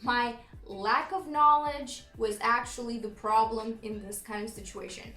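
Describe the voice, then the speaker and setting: A young woman with a Romanian accent, chatting in a storytime vlog, laughing often and filling pauses with ums. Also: a little high, steady tone, pushed and bright, roomy sound, soft background music, low-quality recording. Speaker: YouTuber.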